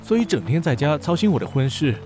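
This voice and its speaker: A man's voice: on the high side, big pitch swings, fast, mellow, soft background music. An actor playing an aloof, curt male boss of about thirty in a modern comedy radio drama. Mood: weary. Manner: curt, cool, exasperated.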